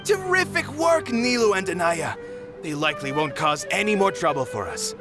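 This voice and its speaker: A man's voice: sits high, very animated, moderate pace. A man playing an upbeat, approving male character in a video game dub. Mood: pleased, praising. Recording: music behind the voice.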